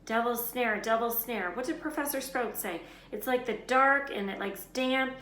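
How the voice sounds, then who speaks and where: A woman's voice: a little high, normal speed, a bit bright, pitched above the performer's own voice, lightly acted. A woman voicing a bossy, anxious schoolgirl witch in an urgent, exclamatory storybook reading. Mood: panicked. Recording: roomy echo, background noise.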